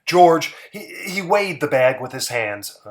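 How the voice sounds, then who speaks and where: A man's voice: on the high side, unhurried. A man giving a dramatic, theatrical reading of a literary short story. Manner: expressive, theatrical. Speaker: story reader.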